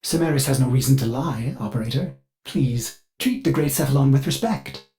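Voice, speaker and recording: A man voicing an anxious, servile male ship computer in a video game, formal and halting, with synthetic processing. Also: medium pitch, warm tone, soft background music. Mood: subdued, deferential. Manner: formal and obsequious, with halting stammers. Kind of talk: scripted video game character acting.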